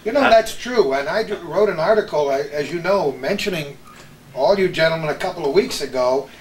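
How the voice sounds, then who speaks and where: Higher-voiced American man reminiscing in echoey room. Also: lively intonation, fairly quick, recorded from a distance, slight background noise. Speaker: host. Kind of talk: reminiscing conversational storytelling.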